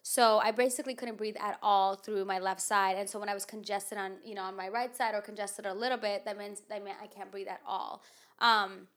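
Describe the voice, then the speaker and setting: Woman, nasal, chatty podcast host, lots of fillers, mid-pitched voice. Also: fairly even intonation, a little fast, a bit bright. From United States. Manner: chatty, filler-heavy, rambling. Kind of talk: casual chat.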